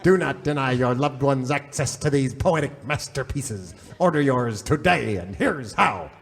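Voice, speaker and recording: A self-important American pitchman doctor in a comedy radio ad. He talks in a mid-range voice, with folksy salesmanship and a brusque streak. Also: expressive, unhurried, gravelly. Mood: pompous selling. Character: pompous folksy married pitchman doctor, brusque with his wife. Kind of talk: parody radio advertisement.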